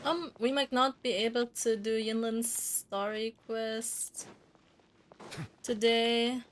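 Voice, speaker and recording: A woman's voice: on the high side, steady tone, slow pace. A woman livestreamer chatting casually while playing a game on stream. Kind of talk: casual gameplay stream chat, reading dialogue aloud.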